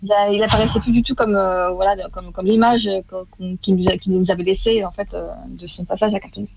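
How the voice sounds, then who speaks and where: A woman's voice: mid-range voice, steady tone, quick pace, creaky, darkish tone, highs cut off. A woman in her thirties, a guest in a radio interview, speaking hesitantly with many fillers and restarts. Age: 30s. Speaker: guest, former masterclass student.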